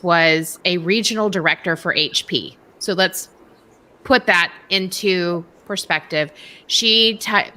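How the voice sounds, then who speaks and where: A woman hosting a casual panel discussion on a video call, talking in an impassioned, animated way with quick asides. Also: lowish voice.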